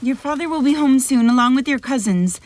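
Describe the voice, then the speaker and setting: A woman's voice: high voice, a little fast, darkish tone, close to an everyday voice. A woman playing an anguished, fragile mother in a fantasy audio drama, fraught and emotional. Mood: urgent.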